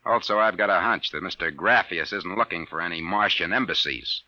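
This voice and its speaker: Low, hard-edged sardonic detective. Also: male voice, fairly quick, bright, forceful tone, no echo. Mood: exasperated, bossy. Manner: wry, gruff, clipped slangy talk. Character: sardonic, hard-boiled male private detective boss. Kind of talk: 1950s radio detective drama acting. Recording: dull, dry vintage radio recording.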